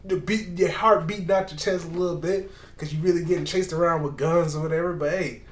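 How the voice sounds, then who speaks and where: A man with a fairly high voice chats through a reaction video in a loose, slangy way. He is lively and repeats points for emphasis. The sound is roomy and echoey. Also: a little fast, background noise. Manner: animated, slangy, repeats himself. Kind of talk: casual reaction commentary.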